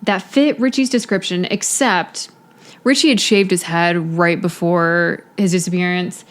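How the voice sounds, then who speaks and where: A woman's voice: on the low side, steady tone, a little slow. A woman co-hosting a podcast, telling a case in casual chat with a lot of "you know" fillers. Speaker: podcast co-host.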